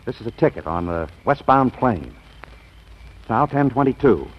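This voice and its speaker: An actor playing an exasperated, blustery husband who stammers when flustered, in 1940s radio style with a husky voice. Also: male voice, mid-range voice, big pitch swings, moderate pace. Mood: awkward, conciliatory. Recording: dull vintage radio recording.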